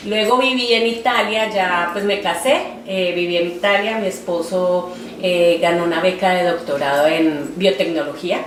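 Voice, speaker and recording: A Colombian woman in her forties, an artist reflecting in an oral history interview with a halting, filler-heavy delivery. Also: on the low side, fairly even intonation. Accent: Colombian Spanish. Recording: roomy, distant mic, faint noise.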